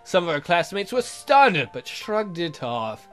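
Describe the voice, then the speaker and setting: Male let's player, high lively voice, narrating a romance game theatrically with joking asides, music behind. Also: unhurried. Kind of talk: reading a visual novel aloud with joking asides.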